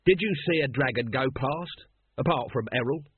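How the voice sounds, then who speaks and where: A man's voice: mid-range voice, normal speed, husky, dry recording, narrow, tinny audio. A man voicing a weary, curt watch captain with a terse, gloomy manner in an audiobook.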